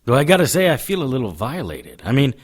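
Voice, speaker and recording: An actor playing a gruff, sardonic occult investigator in a noir audio drama, wry and grumbling. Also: male voice, medium pitch, sing-song, fast. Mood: wry, irritated. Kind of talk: audio drama acting with noir first-person narration.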